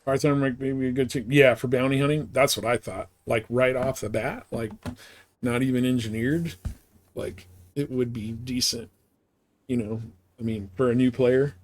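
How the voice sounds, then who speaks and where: Guy streaming a game, average pitch voice, just chatting casually and mumbling through his thoughts as he plays. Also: fairly even intonation, normal speed. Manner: rambling, thinking aloud while playing. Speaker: video game livestreamer. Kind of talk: casual gaming stream chat.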